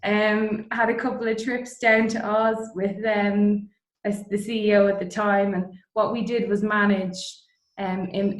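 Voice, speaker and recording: A middle-aged woman with an Irish accent chatting informally as an interview guest about her own career. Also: mid-range voice. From Dublin, Ireland. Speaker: interview guest, distillery co-founder. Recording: roomy, echoey sound.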